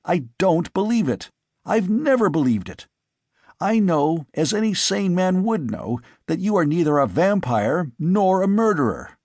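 A man's voice: sits high, sing-song, a little slow, darkish tone, no echo, clean studio sound, voice pushed up, full character voice. A man voicing an earnest, agitated young man who pleads insistently with his father.

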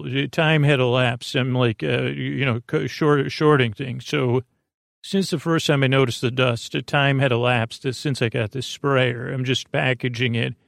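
A man with a creaky voice hosting a sleep podcast, telling meandering stories in a halting, digressive, self-deprecating way. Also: medium pitch, dry recording, studio quality. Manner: halting, digressive, full of false starts and trailing tangents; self-deprecating, gently absurd musing. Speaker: podcast host.

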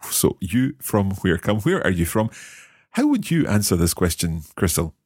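A man with a low voice that moves up and down a lot hosts a language lesson. He breaks phrases into pieces and explains them step by step. The recording is dry and close. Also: very animated, a little mellow, breathy edge, no echo. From Scotland. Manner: friendly, explains step by step, slow careful repetition of words. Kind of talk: podcast language lesson, learner repeating phrases.

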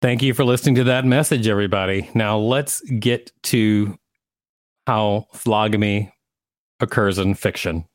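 A man's voice: lowish voice, moderate pace, dry recording. A man hosting a podcast, reading aloud and chatting casually. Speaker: podcast host. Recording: music playing behind the voice.

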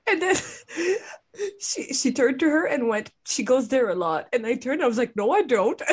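A young woman co-hosting a podcast in casual chat, hoarse from losing her voice, laughing often as she tells stories. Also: fairly high pitch. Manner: laughs often, animated storytelling. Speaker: podcast co-host.

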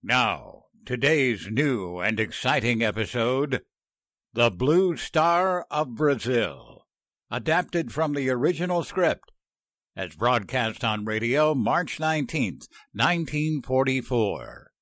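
Slow, dramatic male radio announcer. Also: medium pitch, very animated, fairly bright tone, no echo, clean studio sound. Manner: dramatic, deliberate emphasis.

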